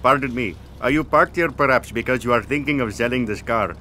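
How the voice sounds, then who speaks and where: Bright, polite, eager spy colleague, acted by a man. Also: medium pitch, a little fast, a little hiss or hum, close to an everyday voice. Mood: eager, surprised. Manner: polite, chatty. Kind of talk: sci-fi comedy audio drama acting.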